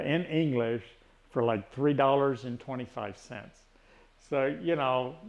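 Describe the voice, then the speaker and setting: Gravelly Tennessee retiree. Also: male voice, mid-range voice. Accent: Tennessee Southern. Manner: rambling, frequent restarts and fillers. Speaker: retiree vlogger. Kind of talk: casual chat.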